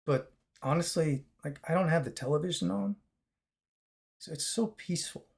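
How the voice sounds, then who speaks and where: A man with a raspy, chesty voice, recovering after a long fast, talking casually to his viewers in a rambling way with many fillers and restarts. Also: a little high. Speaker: vlogger. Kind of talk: casual talk to camera.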